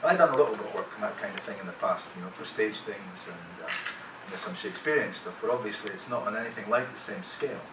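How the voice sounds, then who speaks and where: A middle-aged man tells rambling, self-deprecating stories to a live panel audience, restarting his sentences as he goes. He sounds distant from the mic in a roomy, echoing hall, with loud room noise around him. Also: fairly low pitch, expressive, fast talker, hardly any pauses. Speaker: actor guest on a convention panel. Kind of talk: casual anecdotes to a live audience.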